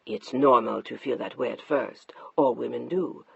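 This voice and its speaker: A narrator voicing a middle-aged husband who speaks low, calm and unyielding, in a slightly muffled dry recording. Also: male voice, normal speed, gravelly, breathy edge, no room sound, full character voice. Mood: steely calm. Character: stern controlling husband, middle-aged, quiet and steely.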